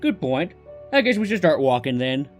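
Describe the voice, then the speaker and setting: A man's voice: fairly high pitch, very animated, moderate pace, faint music behind. A man voicing a friendly young male cowboy pony in a fan audio drama, with a polite, folksy drawl.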